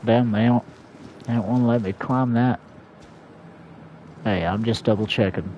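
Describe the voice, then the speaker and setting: A man's voice: fairly low pitch, fairly even intonation, moderate pace, a little mellow, muffled recording, a little hiss or hum. A man live streaming a game with casual, offhand commentary.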